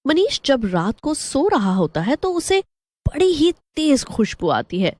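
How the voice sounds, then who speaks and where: Woman storyteller, animated pitch. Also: unhurried, no room sound. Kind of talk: scripted story narration.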